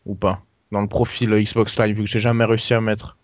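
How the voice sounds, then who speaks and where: A man's voice: lowish voice, level tone, dry recording. Hesitant man on a dull-sounding prank call. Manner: hesitant, halting, feigning puzzled offence. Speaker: prank caller posing as a customer. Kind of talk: prank phone call to customer support. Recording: dull lo-fi call recording, dry.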